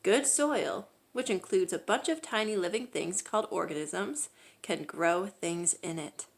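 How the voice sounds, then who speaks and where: A woman reading a children's picture book aloud as a storyteller, with expressive, emphatic delivery. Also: fairly low pitch, unhurried.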